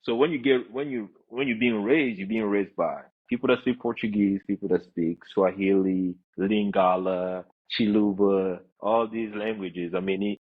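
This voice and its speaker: A man's voice: fairly low pitch, normal speed, few pauses, breathy edge. A young man from Congo who grew up in the US, chatting casually as a podcast guest over a muffled video call. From born in Congo, grew up in the US. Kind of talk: casual chat. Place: room with large windows, indoors. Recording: muffled, narrow-band video call audio.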